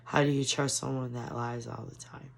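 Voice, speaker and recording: Hoarse, gravelly-voiced woman ranting. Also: extremely low pitch, flat delivery, normal speed. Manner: frustrated, ranting. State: hoarse, losing her voice. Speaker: commentary vlogger. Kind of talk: casual political commentary.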